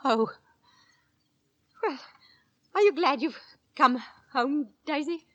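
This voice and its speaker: A woman's voice: high voice, animated, takes it slowly, fairly bright tone, dull audio, full character voice. An actress playing an anxious, stern middle-aged Victorian London landlady and mother, nervous and reproving, in 1940s radio drama style. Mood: flustered.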